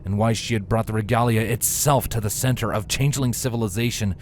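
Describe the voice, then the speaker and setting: A man's voice: fairly low pitch, fast, slight background noise. A man reading a scripted fantasy story aloud as a dramatic narrator. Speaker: fan fiction narrator.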